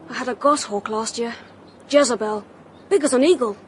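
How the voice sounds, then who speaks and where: A woman's voice: on the high side, moderate pace, slight background noise, lightly acted. A woman voicing an eager young schoolboy who keeps hawks, talking earnestly in a radio play. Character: eager young schoolboy son, keen falconer. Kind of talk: radio drama acting.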